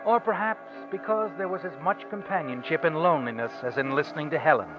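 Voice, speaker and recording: An actor playing a sinister, all-knowing narrator of crime tales in a 1940s radio suspense show, speaking in an ominous, deliberate, dramatic way. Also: male voice, on the high side, natural voice. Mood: brooding, measured. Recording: dull vintage radio recording with music.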